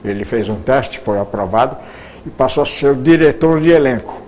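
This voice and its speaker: An older man, a retired radio producer, reminiscing in an interview, with halting speech full of repeated words and restarts. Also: mid-range voice, expressive, darkish tone, slight background noise.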